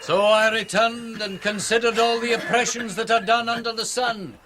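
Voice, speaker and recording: A man's voice: very high-pitched, steady tone, moderate pace, sharp tone, a little hiss or hum. A man playing an earnest young minister preaching a declamatory open-air sermon in a radio drama. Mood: fervent preaching.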